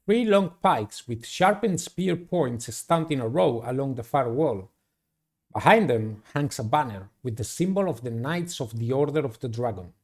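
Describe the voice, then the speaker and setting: A man's voice: mid-range voice, lively intonation, normal speed. A man reading a visual novel aloud as a playthrough, with dramatic, theatrical storytelling. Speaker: game player reading aloud.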